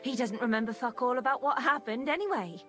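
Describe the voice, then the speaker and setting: High voice, lively intonation, bossy female schemer, coarse and conspiratorial, dramatic video game acting, music behind. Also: fast talker. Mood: scheming. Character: scheming, foul-mouthed, bossy young woman plotting blackmail.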